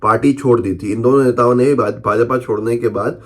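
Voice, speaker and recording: A man's voice: mid-range voice, steady tone, fairly quick. A man presenting news commentary in an emphatic, questioning way, speaking off the cuff and repeating phrases for stress. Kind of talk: unscripted political news commentary, reading out newspaper headlines.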